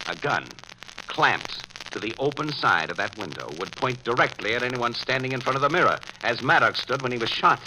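An American actor playing a brash, wry newspaper crime photographer in an old-time radio drama, talking in insistent slang. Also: male voice, mid-range voice, lively intonation, brisk, natural voice. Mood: excited explaining. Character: brash, quick-thinking male newspaper crime photographer sleuth. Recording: noisy old radio broadcast recording.

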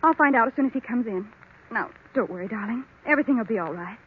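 A woman playing an earnest young niece and new bride, gentle and placating, in old radio drama style. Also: on the high side, expressive, quick pace, a little hiss or hum, close to an everyday voice. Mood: worried, soothing. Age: young adult. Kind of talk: 1940s radio drama acting. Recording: tinny, narrow old radio recording.

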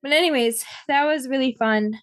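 A hoarse woman co-hosting a podcast, rambling in a casual chat with lots of fillers. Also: high-pitched, normal speed, a bit bright, dry recording, clean studio sound. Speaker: podcast co-host.

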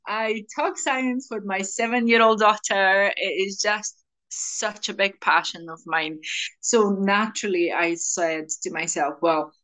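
A woman's voice: medium pitch, takes it slowly, pushed and bright. A woman in her thirties from Slovenia, a native Slovenian speaker, chatting enthusiastically as an interview guest. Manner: enthusiastic, chatty, laughs often. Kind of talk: interview answers.

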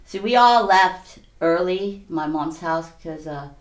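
A woman from Hawaii and California, telling her own story casually and haltingly as an interview guest. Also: lowish voice. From Hawaii and San Mateo County, California. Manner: conversational, halting, repeats "you know". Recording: roomy, echoey space.

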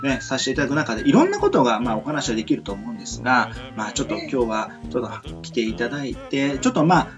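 A man from Kyushu hosting a casual radio chat, polite and wordy, circling haltingly toward his questions. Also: mid-range voice, sing-song. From Kyushu, southern Japan. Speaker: radio host. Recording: muffled lo-fi audio with music behind. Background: background music.

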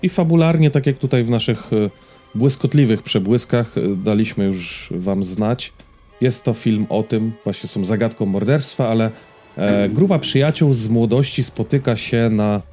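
A man's voice: lowish voice, normal speed, warm tone, soft background music. A man giving a casual podcast-style film review as a co-host, searching for words and checking in with his listener.

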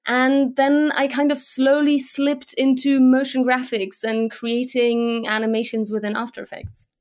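A woman's voice: sits high, little pitch movement, measured pace, a little mellow. Chatty Slovak-born woman, English accent. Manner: chatty, with many fillers and restarts. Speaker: guest, motion graphics designer. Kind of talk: casual interview conversation.

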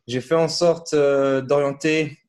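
A man from Switzerland, a podcast guest and fitness coach, speaking in a relaxed, halting way with many fillers and restarts. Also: fairly high pitch. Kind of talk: casual podcast interview.

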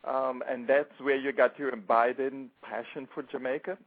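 A man's voice: mid-range voice, little pitch movement, normal speed. A man co-hosting a show, putting questions to a guest in a friendly, conversational way. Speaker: co-host interviewing a guest. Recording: thin phone-like line.

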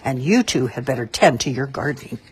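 A woman voicing a stern, commanding male small-town sheriff in a children's story, booming with authority. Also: animated, a little fast, breathy edge, exaggerated voice.

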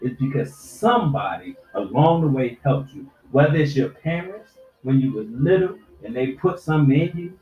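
A man in his forties, a podcast co-host, lecturing younger people with profane life advice in casual chat. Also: fairly high pitch. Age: 40s. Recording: roomy, dull lo-fi sound.

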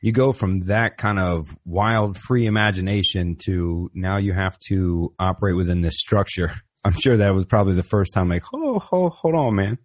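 A man's voice: deep voice, moderate pace. A man hosting a podcast in a laid-back, slangy way, often calling his guest "man" or "brother". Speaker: podcast host. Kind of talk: casual interview conversation.

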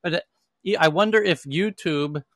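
A man's voice: fairly high pitch, moderate pace, fairly bright tone, dry recording, studio quality. A man hosting a casual live audio chat about tech news, talking in a loose, rambling way with many fillers and restarts. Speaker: host.

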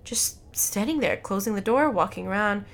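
A woman's voice: medium pitch, animated, normal speed, natural voice. A woman voicing a nervous, paranoid young male college student in a dramatic story reading, anxious and pleading. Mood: uneasy, suspenseful.

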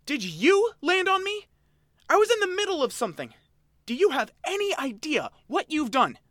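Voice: mid-range voice, sing-song, moderate pace, a bit bright, no echo, clean studio sound, pitched above the performer's own voice. A reader voicing an angry, boyish male otter creature who snarls and speaks with stiff formality.

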